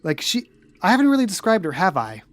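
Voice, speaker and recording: A woman's voice: medium pitch, big pitch swings, fast, no echo. A woman narrating a tabletop roleplay podcast as game master.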